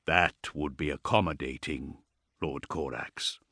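A man voicing a huge, courteous war machine in an audiobook, intoning formally in a deep bass. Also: pushed and bright, dry recording, clean studio sound, heavily acted. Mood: solemn, courteous. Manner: slow, solemn intoning.

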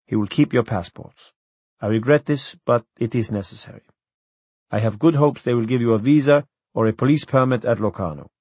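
A man voicing a formal border lieutenant who speaks in clipped, officious sentences, a little higher and louder than the narrator. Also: a little fast, husky, no room sound, close to an everyday voice. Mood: polite.

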